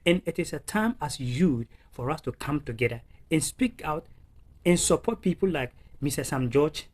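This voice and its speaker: A man's voice: a little high, expressive, normal speed. A man hosting his own channel, giving impassioned political commentary in an earnest, urging way, repeating phrases and using many fillers. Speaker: channel host.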